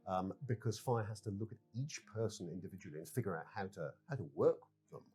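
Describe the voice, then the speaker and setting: A man explaining a game as its developer in an interview, halting and repeating words as he goes. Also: on the low side, animated.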